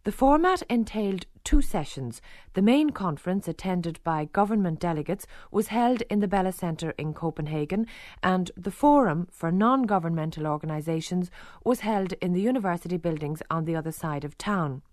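Female broadcaster, measured documentary narration, dry close studio sound. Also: lowish voice, animated, a little mellow, no room sound. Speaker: broadcaster and reporter. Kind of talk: scripted radio documentary narration.

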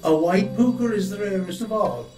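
A friendly old wanderer in a storybook, put on by a male narrator, pitched on the high side. He talks in a genial, knowing and mysterious way, with soft music underneath. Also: normal speed, reverberant, far from the mic, natural voice. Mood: ominous, knowing. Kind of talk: audiobook character acting.

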